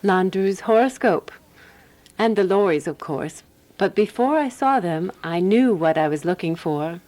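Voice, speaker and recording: An actress playing a calm, practical woman detective in a radio drama, telling her case in a composed, deliberate way. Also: medium pitch, lightly acted. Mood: explaining, confident. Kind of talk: radio drama dialogue and first-person narration.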